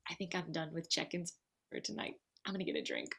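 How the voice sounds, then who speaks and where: A young bride played by an actress in a casual screenplay read. She is upbeat and teasing, with animated, mid-range pitch. She sounds breezy and ready to party. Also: fast, breathy edge, exaggerated voice. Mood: playful.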